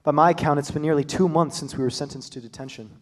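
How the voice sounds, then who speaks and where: A man's voice: a little high, steady tone, quick pace, close to an everyday voice. A young man playing a pompous, formal school dean in a staged reading. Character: pompous middle-aged male school dean.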